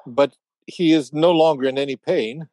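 A slightly high-voiced, hesitant company messenger acted by a man in a radio drama. He is formal, nervous and apologetic, stumbling as he speaks. The sound is dry. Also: moderate pace, warm tone, no room sound, natural voice. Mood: somber. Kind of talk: radio play acting.

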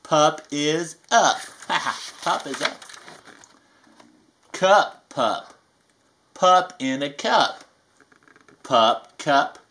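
A performer voicing a playful, folksy bayou alligator with a Southern US accent, reading a children's picture book aloud with drawn-out emphasis. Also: mid-range voice, lively intonation, a bit bright, slight background noise, heavily acted.

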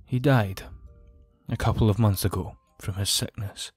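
Grave, injured nobleman for audio drama, played by a man; low-pitched, formal, solemn, faint music underneath. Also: fairly even intonation, moderate pace, slightly rough, no echo. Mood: solemn, regretful.

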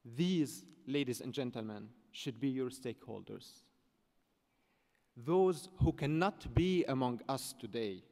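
A young man from Syria gives a formal, earnest keynote speech in measured, deliberate phrases. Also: medium pitch, a little mellow. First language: Arabic. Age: young adult. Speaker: keynote speaker. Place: large auditorium, at a podium on stage. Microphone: podium microphone. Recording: reverberant large conference hall.

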